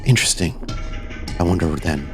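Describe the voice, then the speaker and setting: Cryptic shapeshifting stranger played by a man, very low and composed, deliberate phrasing, horror roleplay podcast. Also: music in the background, lightly acted. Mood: calm, inquisitive.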